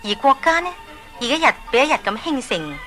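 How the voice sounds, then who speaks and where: A woman's voice: sits high, very animated, brisk. A woman with a Hong Kong Cantonese accent narrating a scripted children's story. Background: music.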